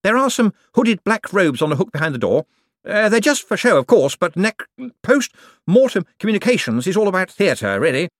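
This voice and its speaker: A man reading an audiobook, voicing a nervous, evasive male wizard bureaucrat who talks in a flustered, hurried way. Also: high-pitched, big pitch swings, no echo, clean studio sound, pitched above the performer's own voice. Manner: flustered, hurried, over-explaining. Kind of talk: audiobook character voice.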